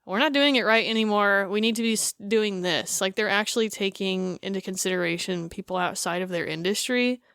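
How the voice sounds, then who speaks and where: Woman podcast co-host, animated and blunt, smooth clear voice, dry close mic. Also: mid-range voice, fairly quick, few pauses, no echo. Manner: animated, drops into mocking quoted voices, swears freely. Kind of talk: casual chat.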